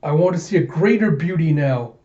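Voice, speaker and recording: A death-obsessed male villain from a comic story, acted out by a man, speaking in grandiose, brooding monologue. The mellow voice sits in a dull, echoey room. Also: on the high side, expressive, brisk, natural voice. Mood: rapturous. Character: morbid, nihilistic male photographer obsessed with death and beauty.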